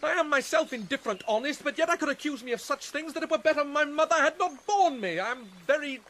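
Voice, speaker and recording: Male actor as tormented young prince, bitter, volatile, theatrical radio Shakespeare, deliberate pauses, faintly noisy recording. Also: extremely high pitch, expressive, bright, forceful tone, exaggerated voice. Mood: anguished, bitter. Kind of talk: Shakespearean radio drama acting.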